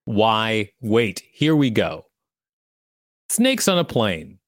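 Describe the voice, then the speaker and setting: A man's voice: lowish voice, big pitch swings, drawn-out words, no echo, studio quality. A man hosting a podcast, reading an encyclopedia article aloud and adding casual commentary. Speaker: podcast host.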